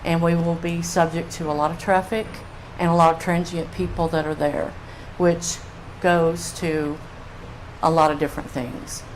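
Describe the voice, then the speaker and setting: A woman's voice: low-pitched, steady tone, normal speed, poor audio. An older woman giving informal public testimony as a resident. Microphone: public comment microphone. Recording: faint room noise on meeting mic.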